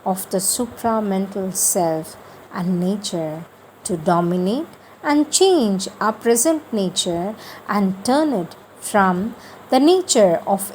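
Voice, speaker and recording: A woman reading and explaining spiritual texts in a devotional lecture, deliberately emphasizing key phrases. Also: mid-range voice, darkish tone, poor audio. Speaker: reader and spiritual teacher. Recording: faint background noise.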